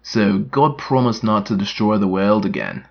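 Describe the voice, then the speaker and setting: A man's voice: lowish voice, moderate pace, creaky. Muffled, skeptical military commander played by a man. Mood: puzzled, blunt. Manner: questioning, incredulous, blunt. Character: Commander Fives, skeptical, blunt military subordinate. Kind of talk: scripted comic dialogue. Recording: dull, band-limited, filtered-sounding voice.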